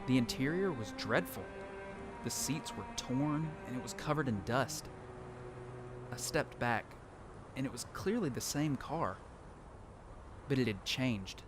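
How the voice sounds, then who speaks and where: A man's voice: mid-range voice, lively intonation, measured pace, pauses often, natural voice. A man playing a lone apocalypse survivor who tells his story in earnest, dramatic first-person narration for an audio drama. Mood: uneasy, panicked. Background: music.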